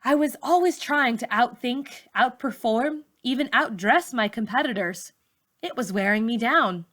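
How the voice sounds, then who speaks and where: A woman voicing a composed, businesslike female teacher of forty from a storybook, measured and matter-of-fact. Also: fairly high pitch, a bit bright, voice pushed up. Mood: frank.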